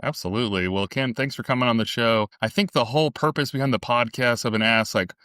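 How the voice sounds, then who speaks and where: A man's voice: mid-range voice, quick pace, pushed and bright, dry recording, clean studio sound. A man in his late thirties hosting a podcast interview, friendly and reflective. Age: 30s. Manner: friendly, reflective, lightly scripted intro. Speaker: podcast host.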